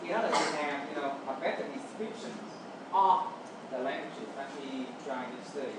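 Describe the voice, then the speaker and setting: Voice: mid-range voice, normal speed. A native Vietnamese speaker with a Vietnamese accent, giving an explanatory classroom lecture and checking understanding with short tag words. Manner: checks understanding with "okay" and "right". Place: roomy indoor space, distant mic, noise behind. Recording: echoey room from far off, noisy.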